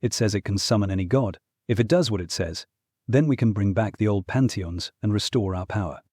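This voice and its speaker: Male actor as proud fallen god, low husky voice, mellow tone, imperious, scheming, fantasy audiobook. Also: fairly quick, dry recording, studio quality. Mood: grandly determined. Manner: imperious, persuasive. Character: proud, scheming ex-god uncle, longing for lost glory. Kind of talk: full-cast fantasy audiobook acting.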